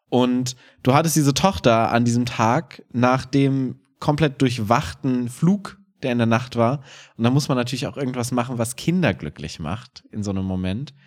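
Joking man on a podcast. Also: medium pitch. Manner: joking, playfully ironic. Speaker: podcast co-host. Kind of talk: casual chat.

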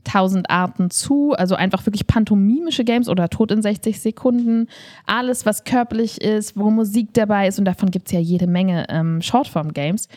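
A woman's voice: medium pitch, moderate pace, dark tone. Woman in casual, emphatic podcast chat. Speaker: podcast co-host and improv performer.

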